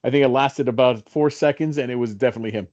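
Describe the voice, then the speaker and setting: A man's voice: mid-range voice, fast, a little mellow. A man of fifty co-hosting a hobby podcast, talking casually, upbeat and encouraging, laughing often. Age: 50s. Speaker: co-host. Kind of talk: casual chat. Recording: slightly dull audio.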